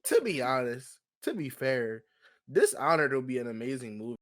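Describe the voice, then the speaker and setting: A man's voice: medium pitch, very animated, normal speed, fairly bright tone. A man co-hosting a podcast in casual, slangy, opinionated conversation. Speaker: podcast co-host. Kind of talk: casual group discussion.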